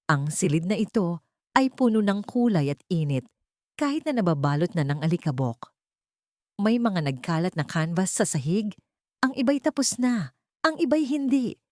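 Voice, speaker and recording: A woman's voice: lowish voice, darkish tone, no echo, studio quality. A woman with a Filipino accent reading a scripted dramatic story as an audiobook narrator.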